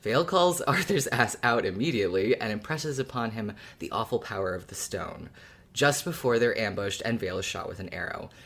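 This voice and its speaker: A podcast speaker in a casual fan discussion, talking in an animated, colloquial way. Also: medium pitch, fairly quick, husky. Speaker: podcast co-host or guest.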